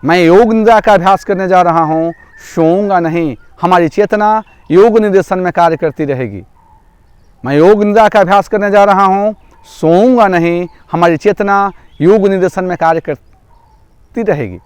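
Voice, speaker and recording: A man's voice: sits high, normal speed, smooth, no echo. A man guiding a yoga nidra relaxation as instructor, giving repetitive, step-by-step instructions. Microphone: microphone through a loudspeaker.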